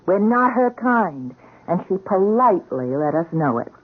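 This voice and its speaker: An actress playing a police sergeant's skeptical, hurt wife with a pointed, sardonic manner in a radio drama. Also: female voice, medium pitch, sing-song, moderate pace, husky. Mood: bitter. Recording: muffled, band-limited radio drama recording.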